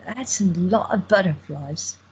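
A woman's voice: fairly low pitch, measured pace, a little mellow, lo-fi sound, slight background noise, natural voice. An actress playing a playful young woman who teases her girlfriend, in an audio drama. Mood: teasing. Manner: playful, teasing. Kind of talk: amateur audio drama acting.

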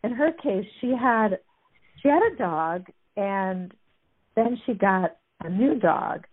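A woman's voice: mid-range voice, expressive, takes it slowly, breathy edge. A woman chattily retelling stories as a guest, amused, with many fillers. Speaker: guest discussing a book. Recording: tinny phone-like line.

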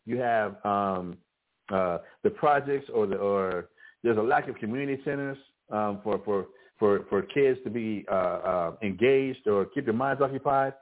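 A man teaching a scripture class, preaching in a halting way with many restarts and fillers. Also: fairly low pitch. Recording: phone-like narrow band.

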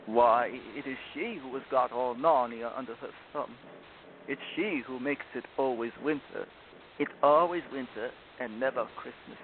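A man's voice: mid-range voice, lightly acted. A man reading a storybook, voicing a guilty, plaintive fawn who confesses remorsefully. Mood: guilty confessing. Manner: plaintive, sobbing, drawn-out confessing. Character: guilty, tearful, remorseful male fawn with horns. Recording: tinny phone-like audio with loud noise.

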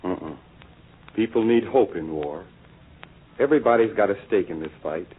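An earnest young American songwriter in a vintage radio play. His voice is husky and slightly low, serious and deliberate, coming through a very narrow, tinny old broadcast recording. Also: animated. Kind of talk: 1940s radio drama acting.

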